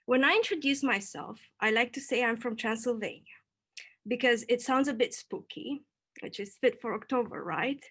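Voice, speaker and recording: A woman's voice: fairly high pitch, moderate pace, fairly bright tone, husky. A young woman in her twenties from Romania, giving a prepared storytelling talk as a PhD student in a light, playful way. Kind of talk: prepared talk read to an online audience.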